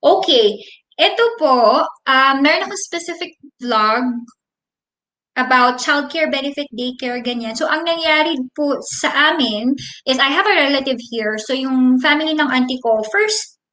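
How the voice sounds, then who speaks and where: Bright-voiced Filipino woman vlogger. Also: high-pitched, expressive, normal speed, roomy sound. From Philippines. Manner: friendly, reads viewer comments aloud, explains at length. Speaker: vlogger answering viewer questions live. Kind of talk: casual live Q&A, switching between English and Tagalog.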